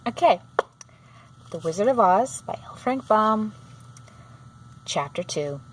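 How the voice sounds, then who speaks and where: A woman narrates a children's storybook at a middle pitch. She reads a little haltingly, sometimes repeating a phrase. Clear background noise sits under the voice. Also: lively intonation, rough recording. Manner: halting, often rereads phrases. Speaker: storybook reader. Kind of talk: read-aloud narration of a children's book.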